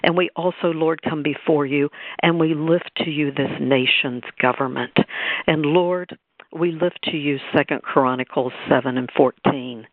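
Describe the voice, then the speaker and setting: Gravelly man praying over a phone line. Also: a little high, unhurried, dry recording. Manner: reverent, repetitive, quoting scripture. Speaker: prayer leader on a group call. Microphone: telephone on a conference call. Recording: narrow tinny phone line.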